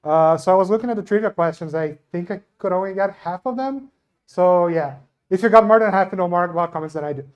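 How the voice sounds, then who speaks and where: A man's voice: high-pitched, fast, clear, resonant tone. A young man with a Brazilian accent and native Portuguese gives an informal, self-deprecating science talk into a microphone at a live event. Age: young adult. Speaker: astronomy researcher giving a talk. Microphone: handheld microphone.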